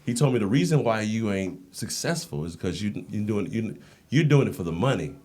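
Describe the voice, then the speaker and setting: A man's voice: fairly low pitch, fairly quick. An American man talking casually as a podcast guest, telling anecdotes and acting out the dialogue in them. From United States. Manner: animated storyteller, acts out quoted dialogue. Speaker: podcast guest, endurance coach and Marine. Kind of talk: casual, anecdotal interview talk.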